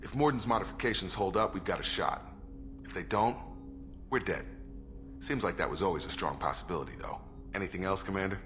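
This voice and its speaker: An actor playing a steady, proud ex-military crew officer in a video game, plain-spoken and matter-of-fact. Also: male voice, low voice, a little fast, pauses often, music in the background, natural voice. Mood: frank, measured. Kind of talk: video game character acting.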